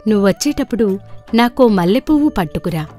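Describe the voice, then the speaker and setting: A woman voicing a gentle, selfless youngest farm daughter in a storybook folktale. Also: mid-range voice, lively intonation, fairly quick, faint music behind, natural voice. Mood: gentle, modest. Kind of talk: storybook dialogue by narrator.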